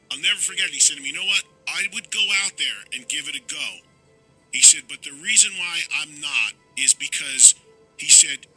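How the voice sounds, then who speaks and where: Male radio host, slightly high, bright voice, talkative storyteller. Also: breathy edge, low-quality recording. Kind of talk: casual radio interview.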